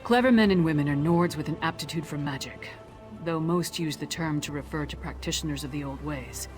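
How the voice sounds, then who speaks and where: A woman's voice: low-pitched, fairly quick, over music, close to an everyday voice. An actress playing a blunt, hot-tempered but dutiful warrior woman in a video game, forthright and plain-spoken. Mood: matter-of-fact. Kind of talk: scripted video game dialogue.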